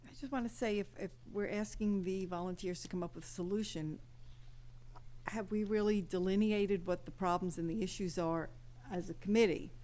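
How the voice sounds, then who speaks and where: A woman's voice: medium pitch, steady tone, normal speed, warm tone. A woman on a committee asking direct, probing questions off the cuff at a public meeting. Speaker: township committee member. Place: public township meeting room.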